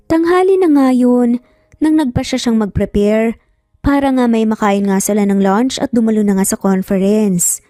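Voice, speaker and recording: Bright-voiced Filipino woman narrating a romance story. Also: fairly high pitch, no echo, clean studio sound. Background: background music.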